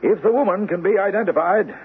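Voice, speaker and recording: Doctor: raspy, high for a man, cautious, methodical, old radio mystery, tinny broadcast. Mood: calm, decisive. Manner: deliberate, hesitant, methodical questioning. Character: measured, inquiring male sanitarium doctor. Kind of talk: old-time radio mystery drama acting.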